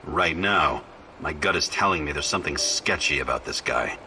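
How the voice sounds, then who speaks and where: An actor playing a gruff, suspicious, hard-boiled male veteran soldier in a video game, blunt and profane. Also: rumbling low, animated, moderate pace, bright, forceful tone, slight background noise, heavily acted. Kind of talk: video game character acting.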